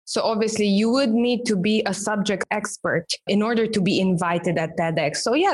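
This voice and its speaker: Female guest, Romanian native speaker, coach, measured formal delivery, mid-pitched. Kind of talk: podcast interview answers.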